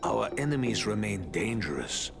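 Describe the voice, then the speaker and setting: An actor playing a fatherly old rat martial arts master in a video game, formal, measured and grave. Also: fairly low pitch, very animated, vocal fry, over music, exaggerated voice. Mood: grave, cautioning. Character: wise old rat sensei, solemn, fatherly. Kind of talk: video game cutscene acting.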